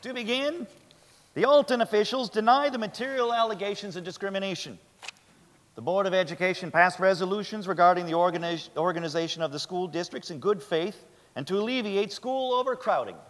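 A man playing a methodical 1890s defence lawyer in a dramatic stage reading, reciting formal questions deliberately. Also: sits high, fairly bright tone. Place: large theatre stage, indoors. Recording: echoey theatre hall.

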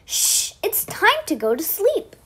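A worn-out storybook zebra shushes and pleads for some quiet, as a woman puts him on. His voice sits high and swoops with exasperation. He draws the words out slowly. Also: wildly swooping pitch, full character voice. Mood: weary pleading. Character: tired grumpy male zebra begging for sleep.

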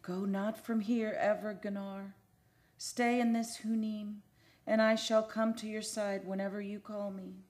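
Woman playing a lovesick lady ghost in an old radio play, super solemn and old-fashioned, medium pitch. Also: little pitch movement, unhurried, a little mellow, lightly acted. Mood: devoted, pleading. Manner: solemn, archaic, declamatory phrasing. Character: lovelorn ghost of a Spanish sea captain's daughter. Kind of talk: old-time radio drama acting.

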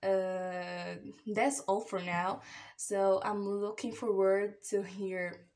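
An eighteen-year-old woman from Morocco with a Moroccan accent gives a motivation speech to camera in hesitant, halting non-native English. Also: mid-range voice, steady tone, darkish tone. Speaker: university applicant. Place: small plain indoor room.

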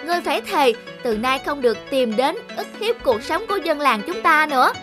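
Plucky young boy hero, high, firm and earnest, cartoon fairy tale with music behind. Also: lively intonation, a little fast. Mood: fierce challenge. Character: brave, determined young peach boy hero. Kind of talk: animated folk tale acting.

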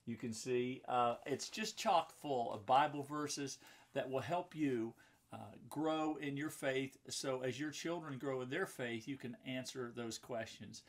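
A man's voice: mid-range voice, a little slow. Male, 50s, pastor type with a mid-range voice, reading and explaining simply for young listeners. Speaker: pastor and children's book author. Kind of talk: children's story reading with chatty asides. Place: indoor book-lined room at home or office.

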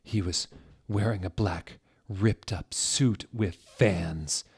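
A man in his thirties reads a story aloud in a fairly low voice. His pace is very slow and deliberate, and his delivery is theatrical. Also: a little mellow, husky. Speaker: reader, show host. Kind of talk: dramatic fan fiction reading. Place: small furnished room indoors. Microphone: desk microphone, close.

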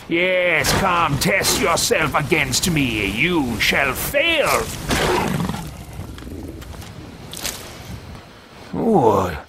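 A man voicing an arrogant wizard mercenary who talks to himself, in a pompous, theatrical, drawn-out manner while playing a game. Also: fairly high pitch, pushed and bright, slight background noise, heavily acted. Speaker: let's play roleplayer. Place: small room with bookshelves, indoors. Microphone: headset mic at a desk webcam.

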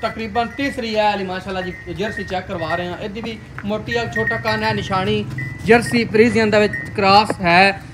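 A man's voice: high-pitched, fairly even intonation, brisk, over music, poor audio. A man with a Punjabi accent presenting cows for sale in an outdoor farmyard, with hearty salesman patter aimed at his viewers. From Pakistani Punjab. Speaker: livestock video presenter.

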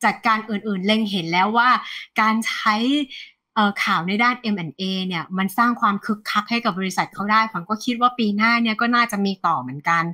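Bright, lively woman economist. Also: mid-range voice, fast. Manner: animated, refers to herself by nickname, mixes English terms. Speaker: economist guest. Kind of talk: conversational market analysis. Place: plain indoor room, video call.

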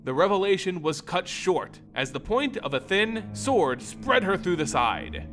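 Male storyteller for scripted fantasy. Expressive, dramatic, a little higher-voiced, lively intonation. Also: measured pace, soft background music. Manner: theatrical, expressive.

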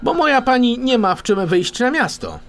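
A man's voice: extremely high pitch, sing-song, moderate pace, fairly bright tone, soft background music, pitched above the performer's own voice, full character voice. A man voicing a chatty, dramatic housemaid in an audio storybook. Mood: bossy. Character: chatty, dramatic housemaid relaying gossip and orders.

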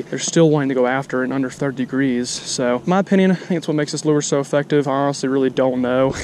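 Young guy, quick and chatty, vlogging from a pond on an action camera, outdoor noise behind. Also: mid-range voice, darkish tone. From central Texas. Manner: chatty, rambling, lots of fillers. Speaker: fishing vlogger. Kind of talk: casual chat to camera while fishing. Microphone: action camera mic.

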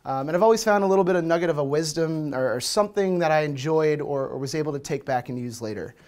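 A man with an American accent, in his thirties, gives a relaxed talk at a meetup in front of a projector screen. His voice sits a little high, and he speaks loosely with frequent "um"s and "uh"s. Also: quick pace. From Washington, D.C., USA. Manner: relaxed, chatty, many fillers. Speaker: conference speaker. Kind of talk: casual presentation talk.

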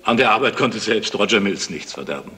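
Frank professional, lowish sharp voice, matter-of-fact, vintage radio play. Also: male voice, animated, a little fast. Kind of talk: 1970s German radio crime drama acting.

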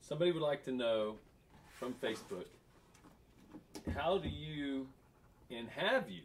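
A man's voice: medium pitch, lively intonation, unhurried, a little hiss or hum. A young man hosting a fishing show, politely reading viewer questions to his guest. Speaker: show host. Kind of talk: interviewing.

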